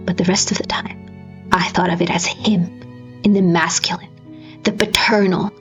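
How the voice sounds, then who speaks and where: A woman giving a dramatic scripted narration, grave and theatrical, with deliberate phrasing. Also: fairly low pitch, little pitch movement, fairly bright tone, in a whisper. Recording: slightly dull recording with music behind. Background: music.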